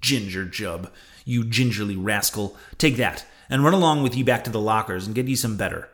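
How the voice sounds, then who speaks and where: A man's voice: on the low side, a little fast, lightly acted. A man voicing an indignant, sarcastic whaling ship's mate in a sea-novel audiobook, emphatic and full of mocking questions. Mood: angry, accusing.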